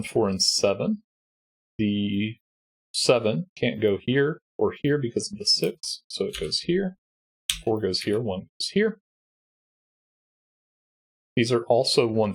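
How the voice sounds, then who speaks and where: A man with a stuffy, congested voice talks a listener through a logic puzzle one cell at a time. His tone is low and slightly husky, and his explaining is patient and methodical. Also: low-quality recording. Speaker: puzzle solving host. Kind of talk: sudoku walkthrough.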